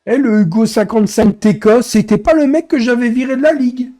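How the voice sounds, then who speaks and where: Hoarse man grumbling on a game stream. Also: very high voice, steady tone, fairly quick, warm tone. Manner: grumbling, exasperated complaints. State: hoarse, losing his voice. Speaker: streamer and racing league head. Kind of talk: live racing game stream commentary.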